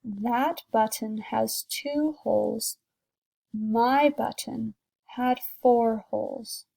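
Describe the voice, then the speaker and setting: A woman's voice: on the high side, extremely slow, vocal fry, warm tone, heavily acted. Woman reading as a fussy, indignant male toad. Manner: wailing, indignant complaints.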